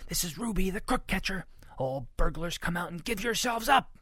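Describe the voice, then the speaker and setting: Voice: mid-range voice, animated, normal speed, a bit bright, husky, no room sound, studio quality, voice pushed up. A storybook reader voicing a plucky, indignant little rodent girl detective with a snappy, spirited delivery. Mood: bold, probing.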